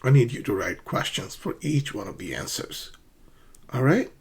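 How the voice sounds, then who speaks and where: Guy teaching english, husky voice, bounces around in pitch a lot, keeps asking right?. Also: sing-song, moderate pace. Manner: patient, encouraging, often checks with "right?"; acts out sample questions with rising intonation. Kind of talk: ESL lesson.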